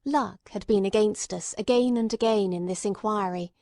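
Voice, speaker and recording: A woman reading classic detective fiction as an audiobook narrator, in a measured, formal way. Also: mid-range voice, a little mellow, dry recording, studio quality.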